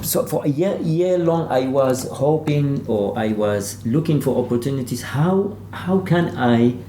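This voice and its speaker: Man, dark mellow tone, halting and searching, repeated words, author interview, echoey room. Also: mid-range voice, lively intonation. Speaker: novelist being interviewed. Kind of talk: informal literary discussion. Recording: roomy echo with faint background noise.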